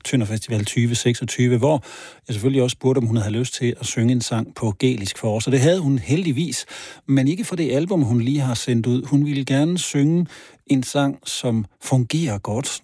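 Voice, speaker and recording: Husky male presenter, close and dry. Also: mid-range voice, a little fast, a little mellow, no room sound. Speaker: radio host. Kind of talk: presenter narration linking interview clips.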